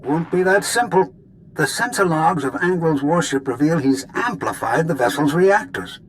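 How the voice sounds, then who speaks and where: Man playing clever doctor, higher-pitched, very animated, precise, technical, grumbling, video game acting. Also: normal speed, slight background noise, lightly acted, low-quality recording.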